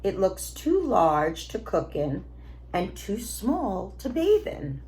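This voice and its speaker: A woman voicing an eager, clever old wife from a storybook, with expressive exclamations. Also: medium pitch, slow pace. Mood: wondering.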